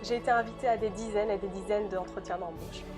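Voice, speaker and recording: Young woman, native Dutch speaker from Belgium, scripted testimony, direct and sincere, music clearly underneath. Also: mid-range voice, fairly even intonation, fast. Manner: earnest, direct, addresses the listener as a friend. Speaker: law graduate giving a testimony.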